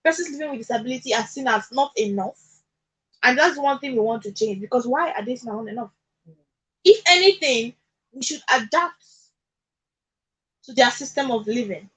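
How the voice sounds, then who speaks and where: High, husky Nigerian woman, emphatic. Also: animated, moderate pace, long pauses, a bit bright, poor audio. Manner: emphatic, repeating points and checking for understanding. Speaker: radio guest discussing social issues. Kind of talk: conversational advocacy on a radio talk show. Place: radio studio.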